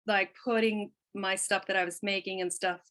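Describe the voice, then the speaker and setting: A woman's voice: mid-range voice, fairly even intonation, normal speed, a bit bright. A middle-aged woman talking as a podcast guest in a casual conversation, chatty and candid, often trailing off mid-thought. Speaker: guest, quilt artist.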